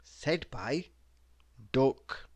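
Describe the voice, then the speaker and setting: Someone reading joke motivational quotes out loud, super slow and dramatic, voice moves around a lot. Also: medium pitch, animated. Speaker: reader of quotes.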